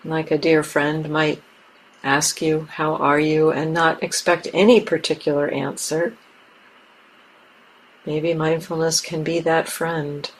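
A woman leading a guided meditation as a teacher, speaking gently and haltingly in short, softly inviting phrases. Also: low-pitched, level tone, slight background noise, poor audio. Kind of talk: guided meditation, unscripted and spoken in pauses. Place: meditation center talk.

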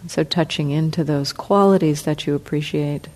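A woman teacher leading a guided meditation, speaking softly and calmly with long pauses. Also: low-pitched. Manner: slow, soft, calm, with long pauses.

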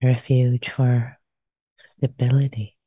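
A woman teacher leading a guided meditation, speaking gently and softly, lingering on and repeating phrases. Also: a bass voice, level tone, slightly rough, dry recording, muffled recording. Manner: gentle, soft-spoken, unhurried with repeated phrases.

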